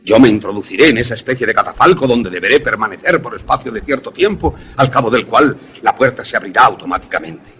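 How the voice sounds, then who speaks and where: A man plays a commanding male professor in a suspense radio play. His voice is very raspy yet bright and forceful, swinging widely as he gives formal, emphatic orders. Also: mid-range voice, exaggerated voice. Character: obsessive scientist, a commanding professor giving instructions. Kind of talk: radio drama acting.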